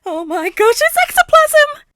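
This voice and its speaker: A woman's voice: extremely high pitch, very animated, unhurried, bright, forceful tone, dry recording, voice pushed up, heavily acted. A woman voicing an overexcited, starstruck seven-year-old boy who gushes and exclaims in an audiobook-style story. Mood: starstruck excitement. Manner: gushing, exclaiming.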